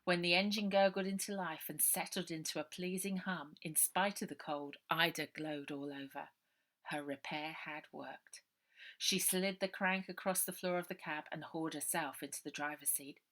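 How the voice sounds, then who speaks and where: A woman author reading her own children's adventure novel aloud, lively, dropping to a whisper for suspense. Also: low-pitched, normal speed. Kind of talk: storybook narration for children.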